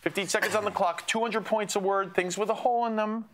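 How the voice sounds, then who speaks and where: A man hosting a game show in a TV studio, brisk and teasing as he counts down the clues. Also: high-pitched, steady tone, fairly bright tone. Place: TV studio set.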